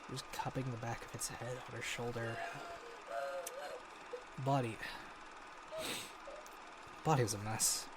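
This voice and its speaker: An actor playing a haunted American war veteran, recounting grim horrors haltingly in an audio drama log. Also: male voice, mid-range voice, fairly even intonation, heavy background noise, lightly acted. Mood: shaken, disgusted. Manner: halting, sighing, hesitant delivery.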